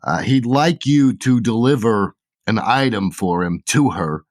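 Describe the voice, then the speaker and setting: A man's voice: mid-range voice, a little slow, dry recording, clean studio sound, lightly acted. A man voicing a polite, formal male messenger in a tabletop game. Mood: courteous.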